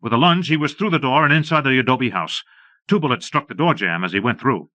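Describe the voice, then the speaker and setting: A man's voice: mid-range voice, lively intonation, fast, pushed and bright, no room sound. A man reading scripted western narration for an audiobook, recorded close and dry on a slightly dull cassette.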